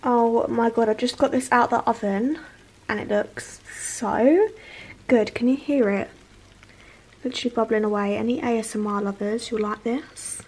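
A woman in her twenties chatting excitedly to camera in a casual home cooking vlog, full of fillers. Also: fairly high pitch, normal speed, low-quality recording. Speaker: vlogger. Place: home kitchen and living room, indoors.

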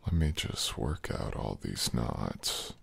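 Very deep, gravelly, breathy boyfriend played by a man. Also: very animated, fairly bright tone, no echo, exaggerated voice. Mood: soothing, sensual. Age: young adult. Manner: breathy, murmured, slow and soothing. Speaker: audio roleplay voice actor. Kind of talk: intimate boyfriend ASMR roleplay.

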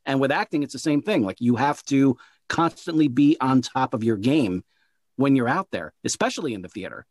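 A man hosts a relaxed interview, talking through his thoughts with frequent restarts and repeated words. The recording is dry with no room sound. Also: mid-range voice, moderate pace, no echo. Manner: rambling, repeats words while thinking. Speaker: interview host and producer. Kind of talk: casual interview conversation.

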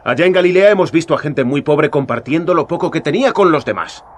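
An actor playing a young, earnest Jesus in a radio drama, talking with passionate urgency. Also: male voice, a little high, animated, quick pace, slight background noise, close to an everyday voice. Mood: hopeful. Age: young adult.

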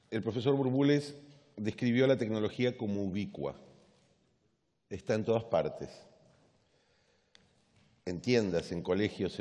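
A man's voice: fairly low pitch, a little mellow. A middle-aged man seated on a stage, introducing the keynote speaker in a formal manner while reading from notes. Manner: reads from paper, measured with small restarts. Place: large conference stage, seated. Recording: long reverb of a large hall.

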